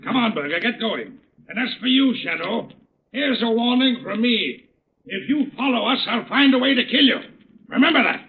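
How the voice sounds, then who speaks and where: An actor playing a ruthless, bullying swindler with hard, clipped delivery in an old radio crime drama. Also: male voice, very high voice, animated, moderate pace. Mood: defiant, threatening. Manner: hard, clipped commands, defiant. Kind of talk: 1930s-40s radio acting. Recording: muffled, narrow-band old radio recording.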